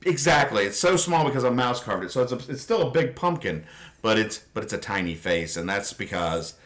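Guy hosting a casual podcast, bright voice, real animated, explains jokes like its serious business. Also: medium pitch, brisk. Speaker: podcast host. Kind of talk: casual chat, describing comic strips.

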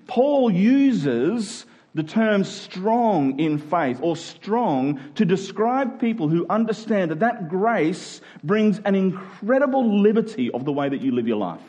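A man's voice: high voice, darkish tone. A man preaching a conversational sermon, emphatic and rhetorical, often voicing imagined objections.

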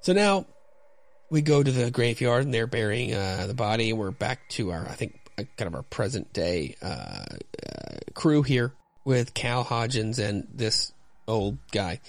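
A man hosting a podcast, giving casual, halting, self-deprecating commentary and narration on a comic. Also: fairly low pitch, big pitch swings, vocal fry, no echo. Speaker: podcast host.

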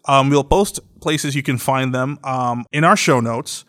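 A man's voice: mid-range voice, a little slow, a bit bright. A man hosting a podcast in casual chat, enthusiastic and often repeating himself. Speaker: podcast host.

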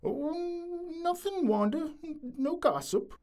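A woman's voice: very high-pitched, lively intonation, slow, dark tone, heavily acted. A woman playing an antsy, scolding small-town husband in a comic audio drama, fussy and folksy with a Southern US accent.

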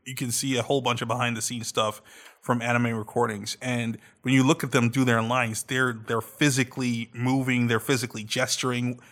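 Male podcast host, mid-pitched, informal chatty delivery. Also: little pitch movement, fairly quick, a bit bright. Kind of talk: casual chat.

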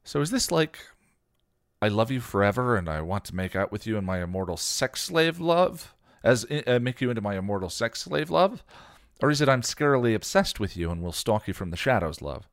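A man's voice: on the low side, big pitch swings, moderate pace, no room sound, clean studio sound, pitched above the performer's own voice. A man voicing a snarky young man who keeps hurling accusations in a read-aloud story. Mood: accusing. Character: teenage boy, snarky and accusing.

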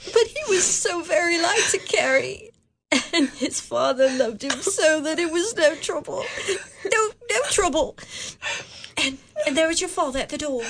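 An actress playing a worn, grieving mother in a radio drama, quiet and tender. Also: high voice, lively intonation, unhurried, a little hiss or hum, full character voice.

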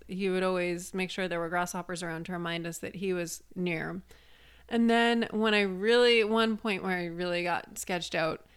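A woman's voice: on the low side, smooth. A woman co-hosting a podcast, telling personal stories casually in an earnest, emphatic way. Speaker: podcast co-host. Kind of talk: casual conversational storytelling.

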